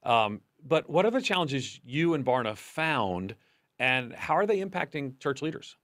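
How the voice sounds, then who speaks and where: A middle-aged man hosts a podcast from a studio, talking loosely with frequent restarts. His voice sits in a mid range. He speaks close to a boom mic with a dry sound. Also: sing-song, a little slow, fairly bright tone, no echo. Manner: conversational, rambling, with restarts. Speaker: podcast host. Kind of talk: interview hosting. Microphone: desk boom mic.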